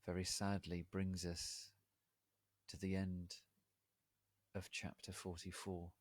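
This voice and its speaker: A man giving a theatrical dramatic reading as narrator, with deliberate, mock-solemn, melodramatic emphasis. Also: deep voice, completely flat delivery, dry recording. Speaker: narrator reading fan fiction aloud.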